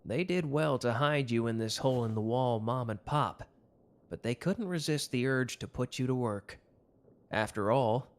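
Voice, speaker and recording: Man voicing a protective young mafia guy, soft, intimate, reassuring, mid pitch, ASMR roleplay. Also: close to an everyday voice. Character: protective young male mafia member, tender.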